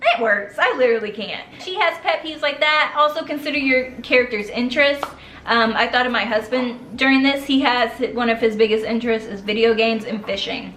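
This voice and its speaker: A woman's voice: fairly high pitch, a little fast, a bit bright, roomy sound, slight background noise. A young woman giving casual writing advice in a chatty, loose way, full of fillers and restarts. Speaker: vlogger giving writing tips.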